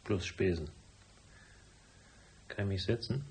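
An actor playing a cool, wisecracking private detective in a noir radio drama, speaking laconic with dry sarcasm. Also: male voice, low voice, slow pace, darkish tone. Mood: cool, sardonic. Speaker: lead actor and first-person narrator.

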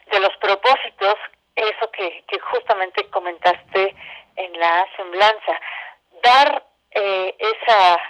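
A woman's voice: mid-range voice, a bit bright. A Mexican woman speaking as an interview guest, an arts educator explaining her work conversationally and building her points through lists and repetition. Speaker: guest, arts educator and theatre company director. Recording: muffled, band-limited phone call.

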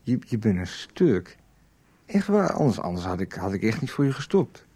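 A man's voice: on the low side, sing-song, normal speed, mellow, slightly rough. An actor playing a boastful, flirtatious man in his twenties in a radio soap, stumbling a little over his words. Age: 20s. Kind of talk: 1990s Dutch radio soap dialogue.

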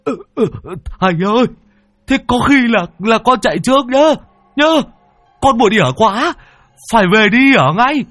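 A frantic coward shrieks and stammers as he runs from ghosts. The voice sits very high and yelps. It is recorded dry and close for a spoken ghost story. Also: animated, normal speed, fairly bright tone, no room sound, faint music behind. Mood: nervous. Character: panicky cowardly man fleeing ghosts in paddies. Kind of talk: storytelling voice acting.

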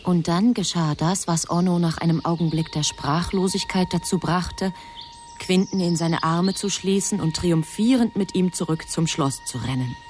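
An actress playing a composed angel who matter-of-factly narrates a human life in a radio drama. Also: female voice, on the low side, normal speed, darkish tone, faint music behind, close to an everyday voice.

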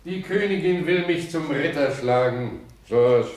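A man's voice: fairly high pitch, measured pace, darkish tone, lightly acted, poor audio. An actor playing an aging, weary British detective and father in a radio drama, plaintive and evasive. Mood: dignified. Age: older.

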